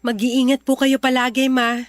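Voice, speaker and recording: Casting: actress as young Filipino daughter-in-law, high voice, patient and forgiving, radio drama with light music behind. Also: female voice, little pitch movement, normal speed, no room sound, close to an everyday voice. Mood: teasing, affectionate. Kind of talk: Tagalog radio drama acting.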